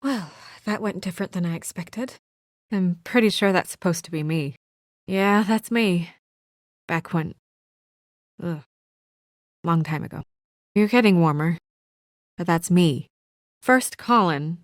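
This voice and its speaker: An actress playing a brisk, guarded young female office assistant in a noir video game drama, speaking in a crisp, businesslike, dry manner. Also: on the low side, no echo, lightly acted. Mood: dry, wry.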